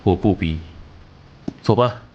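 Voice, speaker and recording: Low-voiced, blunt police captain. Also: male voice, measured pace, muffled recording, noisy background. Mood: earnest, firm. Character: hot-tempered, haunted male police squad captain, former soldier. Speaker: voice actor in an animated drama. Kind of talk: Chinese animation dubbing.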